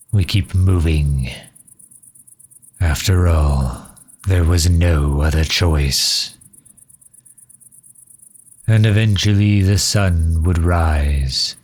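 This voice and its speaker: An ancient, dignified vampire leader, acted by a man for an audio roleplay. His voice sits very low and he speaks slowly, in formal, measured phrases with a grave, solemn weight. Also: a little mellow. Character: centuries-old male vampire leader, solemn, dignified refugee. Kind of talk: scripted ASMR roleplay monologue.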